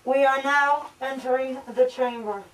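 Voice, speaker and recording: A woman's voice: sits high, steady tone, a little slow, background noise. A young woman acting a commanding female scientist in a radio horror-comedy, recorded in a living room. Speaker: drama student actor. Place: living room of a large house.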